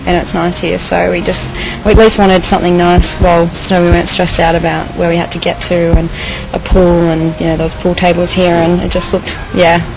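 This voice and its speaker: Low, creaky-voiced woman in casual interview. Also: flat delivery, brisk, few pauses, over music, poor audio. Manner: informal, conversational, uses "you know" often. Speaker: stranded tourist interviewee.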